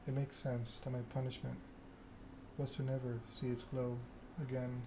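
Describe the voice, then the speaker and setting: Man voicing a proud, measured vampire schemer, noisy. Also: mid-range voice, monotone, natural voice. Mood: proud, nostalgic. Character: proud, scheming vampire aristocrat telling their story. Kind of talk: ASMR read-aloud of game dialogue.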